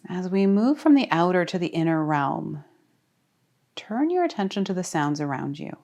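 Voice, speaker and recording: A woman leading a guided relaxation as a yoga teacher, speaking calmly and soothingly with deliberate pauses. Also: fairly low pitch. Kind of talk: guided meditation.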